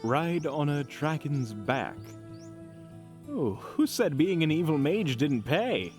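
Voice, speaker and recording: A man's voice: fairly high pitch, expressive, takes it slowly, darkish tone, faint music behind. A man playing a smug, gleeful evil mage with a drawl in a fantasy audio drama. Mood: gleeful, amazed.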